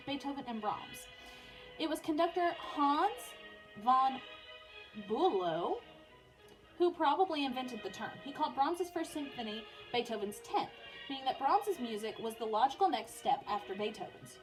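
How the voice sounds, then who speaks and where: Young woman, high voice, music teacher, informal read-aloud delivery for children, music in the background. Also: lively intonation, measured pace, rough recording. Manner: informal, often breaking off to soothe a small child. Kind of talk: reading a biography aloud for pupils, with casual asides.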